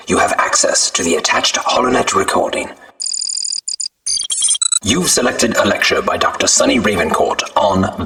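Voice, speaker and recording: An actor playing a university's recorded announcer, reading a scripted message in a formal, measured way. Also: male voice, on the low side, background noise.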